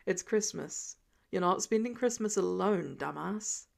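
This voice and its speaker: A woman voicing a gentle, worried adult male vigilante and protective mentor in an audio story, soft and low-key. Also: lively intonation, unhurried, creaky, full character voice. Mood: fond, reassuring.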